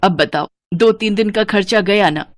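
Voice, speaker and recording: A woman's voice: mid-range voice, normal speed, no room sound, lightly acted. A woman voicing a frugal, reproachful elderly mother-in-law in a cartoon moral story, with a weary, scolding manner.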